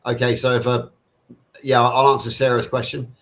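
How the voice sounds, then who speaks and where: A middle-aged Englishman with a plain Southern English accent talks casually on a live panel. His voice sits at an average pitch for a man. He answers in a wordy way, often circling back and rephrasing. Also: little pitch movement, normal speed, a bit bright. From Somerset, England. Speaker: panel participant on a livestream. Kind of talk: casual live chat, answering viewers' questions.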